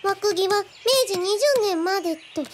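A woman's voice: very high-pitched, fairly even intonation, normal speed. A woman playing a polite, curious Spanish girl genius who speaks in quaint, over-formal archaic phrases in a radio drama. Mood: intrigued. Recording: clear background noise with birdsong.

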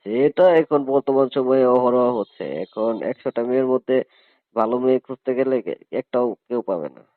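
Man playing a fast-talking, pushy hostel superintendent. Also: medium pitch, no room sound, narrow, tinny audio, close to an everyday voice. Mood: persuasive. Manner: insistent, persuasive, pressuring. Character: pushy, coercive male college hostel superintendent propositioning a student. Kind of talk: staged phone-call drama.

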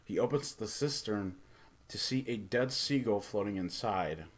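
Halting low-voiced man reviewing casually. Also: animated. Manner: halting, stumbles over words. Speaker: host of a movie review show. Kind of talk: casual off-the-cuff review commentary.

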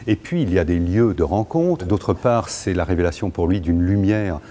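A middle-aged man, a museum curator, explaining an exhibition in a measured, articulate, lecturing way, recorded on a lapel mic in a large gallery. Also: low voice, lively intonation, mellow. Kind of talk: explanatory art commentary.

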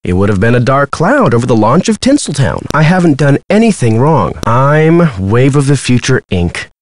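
A self-important young Hollywood heartthrob for an adventure game. He talks in a glib, showy way with very lively swings of pitch, recorded dry and close. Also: normal speed, no room sound. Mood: defensive. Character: vain smooth-talking young male movie star. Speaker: actor character in an adventure game. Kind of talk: video game voice acting.

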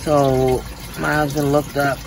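A woman's voice: deep voice, slow pace. A woman giving viewers a chatty tour of her backyard patio and garden, talking straight to them into a handheld phone outdoors. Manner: chatty, talks straight to viewers with lively exclamations. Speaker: home renovation vlogger. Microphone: handheld phone camera. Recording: outdoor ambient noise.

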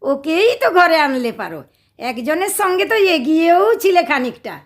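A woman with a West Bengal accent playing a sharp-tongued, quarrelsome middle-aged wife in a theatrical domestic comedy audio play. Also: high-pitched, expressive, normal speed. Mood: sarcastic. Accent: West Bengal Indian. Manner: scolding, sarcastic, theatrical. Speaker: actress in an audio drama.

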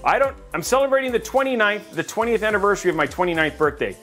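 A man's voice: high voice, very animated, quick pace, a bit bright, soft background music. A man from Texas hosting a casual livestream, reading chat and joking with viewers, rambling and restarting his sentences.

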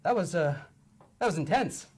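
A young man hosting a livestream in a studio, slightly hoarse from long talking, upbeat and full of fillers. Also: a little high, expressive, normal speed. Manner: upbeat, filler-heavy presenting. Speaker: livestream host. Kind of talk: casual game-show chat. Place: indoor studio set. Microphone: lapel mic.

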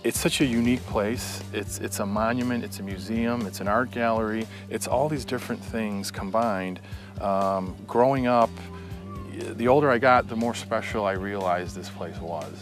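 A man's voice: on the low side, moderate pace. An American man in his forties giving considered interview answers about a family business, speaking outdoors. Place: outdoors among trees. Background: music.

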